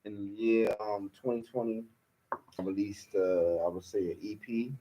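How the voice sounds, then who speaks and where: A man's voice: fairly low pitch, fairly even intonation, moderate pace, mellow, poor audio. A man, a rapper guest talking in a laid-back way with loose slang in a studio interview. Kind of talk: casual interview.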